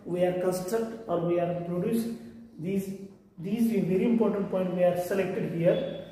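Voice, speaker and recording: A man's voice: high-pitched, fairly even intonation, a little slow, mellow. A man in his forties with an Indian accent, lecturing a class and repeating his points, filmed from a distance in front of a whiteboard. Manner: repetitive explaining, gesturing with hands. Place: room with whiteboard. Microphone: far camera. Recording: echoey room from far off.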